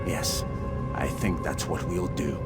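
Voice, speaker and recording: An actor voicing a nervous, boastful tinkerer in a fantasy game, fretful and no fighter. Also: male voice, extremely low pitch, slow pace. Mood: anxious, boastful. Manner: fretful, animated. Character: nervous, boastful male tinkerer, self-proclaimed genius, no warrior. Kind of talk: dramatic fantasy game dialogue. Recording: studio voice under prominent music.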